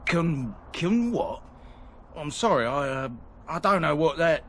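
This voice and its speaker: A man's voice: on the high side, animated, slow, slight background noise. An actor playing a nervous, shifty male merchant in a fantasy game, stammering and evasive.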